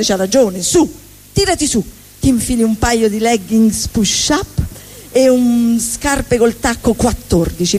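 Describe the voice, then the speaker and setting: A woman's voice: fairly high pitch, a little mellow. A woman comedian doing a sarcastic stand-up monologue on stage, rattling off lists. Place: stage at a live benefit evening.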